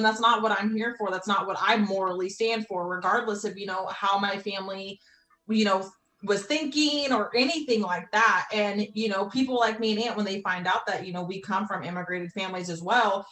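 A woman's voice: mid-range voice, fairly bright tone. Chatty woman in an echoey room. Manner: chatty, hedging with "you know". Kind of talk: casual opinion chat.

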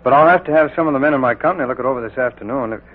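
An actor in a 1940s radio drama, playing a polite, smooth undercover agent posing as a buyer. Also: male voice, mid-range voice, rapid-fire, phone-quality sound, close to an everyday voice. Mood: smooth, probing. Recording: thin, band-limited 1940s radio recording.

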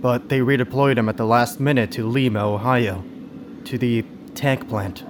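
A man's voice: medium pitch, fairly even intonation, moderate pace, background noise, close to an everyday voice. An actor in an audio drama playing a troubled, guarded young man of nineteen who speaks hesitantly. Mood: somber. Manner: hesitant, subdued. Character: troubled, guarded nineteen-year-old man, fatherless after war.

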